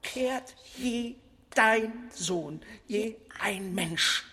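Solemn, forceful tragic chorus voice. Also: medium pitch, very slow. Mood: solemn, urgent. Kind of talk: classical tragedy recitation for radio play.

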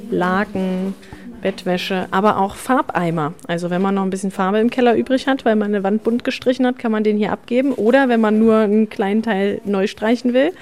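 A woman's voice: medium pitch, steady tone, fairly quick, darkish tone. A woman reporting on location from inside a shop. She walks the listener through the shelves, describing what she sees in a mid-range voice. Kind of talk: on-site news report.

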